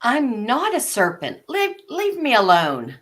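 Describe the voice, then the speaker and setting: A woman's voice: on the high side, huge pitch swings, a little slow, a bit bright, exaggerated voice. A woman voicing a polite, bewildered but plucky little girl in a storybook live read, earnest and prim. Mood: indignant. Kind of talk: live reading of an abridged classic story.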